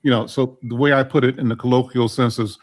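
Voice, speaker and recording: A man hosting a group video call interview, speaking slowly and searching for words with many pauses. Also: medium pitch, flat delivery. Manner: slow, searching, with many "uh" restarts. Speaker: host.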